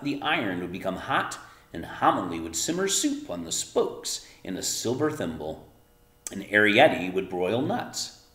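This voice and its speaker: Male storybook reader: animated, lowish voice, emphatic and explanatory, roomy echo. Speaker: read-aloud narrator explaining words to children. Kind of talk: expressive storybook read-aloud with casual asides. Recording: roomy, echoing room sound.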